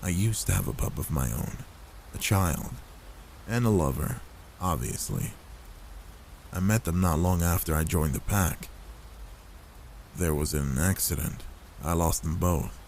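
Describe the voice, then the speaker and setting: A man voicing an older werewolf, a gruff but gentle protective loner, in a soft, intimate ASMR roleplay with a soothing, fatherly manner. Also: deep voice, a little hiss or hum. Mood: gentle, sympathetic, then wistful, sorrowful. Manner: soothing, paternal, unhurried reassurance.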